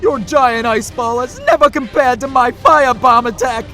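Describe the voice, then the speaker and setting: A man voicing a cocky, hot-headed young fire fighter in an animated battle, taunting and drawing out his words. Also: very high voice, soft background music. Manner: shouting, drawn-out attack calls.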